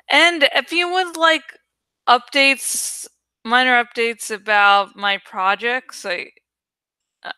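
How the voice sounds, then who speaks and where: Female channel host, casual and jokey, smooth clear tone, unhurried delivery, slightly high register. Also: bright, forceful tone, no room sound. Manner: playful, joking, swears casually, self-mocking. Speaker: host of a dramatic book-reading channel. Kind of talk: casual chatty outro addressed to viewers.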